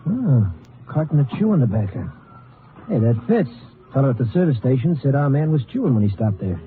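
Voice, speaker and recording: A man's voice: medium pitch, sing-song, a little fast, close to an everyday voice. A man playing an eager lawman, sidekick to a Texas Ranger, in an old-time radio western. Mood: keen. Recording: muffled, faintly noisy vintage radio recording.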